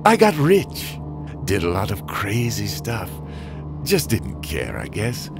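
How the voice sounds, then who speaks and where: An actor playing a bitter, self-loathing demolition derby driver, narrating a motion comic with brooding, theatrical, drawn-out phrasing. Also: male voice, fairly low pitch, wildly swooping pitch, gravelly, music in the background, exaggerated voice. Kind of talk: dramatic first-person comic narration.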